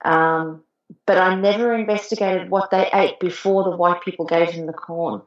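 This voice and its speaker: A woman's voice: on the low side, steady tone, moderate pace. A woman co-host from South Africa, speaking thoughtfully and hesitantly in a casual chat. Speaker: podcast co-host. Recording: roomy, dull lo-fi sound.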